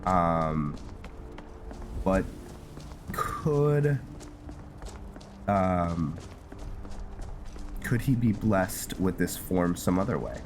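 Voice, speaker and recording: A man doing casual, rambling gameplay commentary, thinking aloud and pausing mid-sentence as he hosts. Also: low voice, lively intonation, slight background noise. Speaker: gameplay host narrating a roleplay series. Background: music behind the voice.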